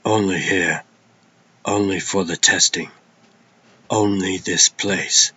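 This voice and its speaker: A man playing a humble young warrior recruit in a comic dub, husky and breathy, halting and reverent. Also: low-pitched, fairly even intonation, bright, forceful tone, highs cut off, full character voice. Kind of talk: theatrical comic dub acting.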